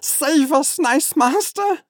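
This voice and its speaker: A man's voice: very high-pitched, lively intonation, takes it slowly, no room sound, studio quality, pitched above the performer's own voice, full character voice. A man voicing a wretched, cringing small creature from a storybook, whining and whimpering in broken, repetitive phrases. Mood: desperate fawning. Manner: repetitive broken speech, calls himself we.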